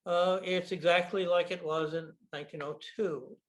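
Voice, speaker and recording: An older American man giving a slide presentation over a video call, speaking deliberately with frequent pauses and fillers. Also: high-pitched, fairly even intonation. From United States. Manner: deliberate, with frequent ums and uhs. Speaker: guest speaker, bookshop owner.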